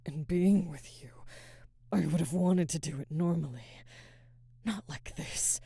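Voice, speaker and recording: A woman playing a conflicted, protective alpha werewolf in an intimate ASMR roleplay, husky and halting, often slipping into a whisper. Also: deep voice, warm tone, no room sound, clean studio sound, heavily acted. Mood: pleading, conflicted. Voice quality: husky, breathy, frequently dropping to a whisper. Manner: halting, hesitant, sighing. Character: adult female alpha werewolf, conflicted, protective, barely restrained.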